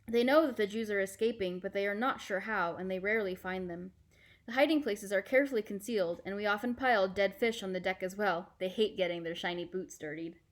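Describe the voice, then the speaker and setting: A woman playing a calm, reassuring fisherman uncle in a storybook, with a measured, explanatory manner. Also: mid-range voice, close to an everyday voice. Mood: matter-of-fact.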